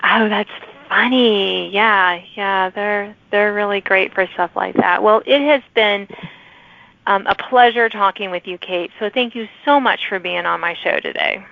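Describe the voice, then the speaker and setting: A middle-aged woman hosting a casual interview show, chatty and given to animated asides. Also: mid-range voice, a little slow, creaky, dry recording, lo-fi sound. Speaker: podcast host.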